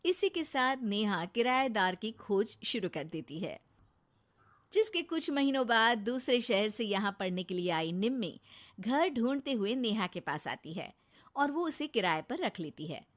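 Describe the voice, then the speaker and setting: A woman's voice: a little high, sing-song, unhurried. A woman narrating a scripted moral story for a cartoon.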